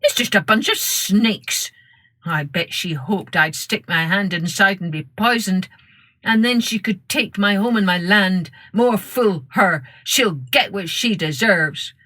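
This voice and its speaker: A woman's voice: medium pitch, unhurried, bright, forceful tone, no room sound. A woman voicing an indignant, distrustful male neighbor in a children's folk tale, grumbling emphatically.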